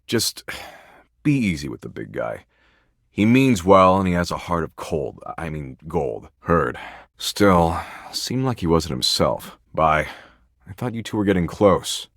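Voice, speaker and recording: A man's voice: very deep voice, fairly bright tone, no room sound, studio quality, exaggerated voice. A man voicing a video game embodiment of existential dread, a jaded, foul-mouthed slacker with a flippant, laid-back, slangy drawl. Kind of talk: video game character voice lines.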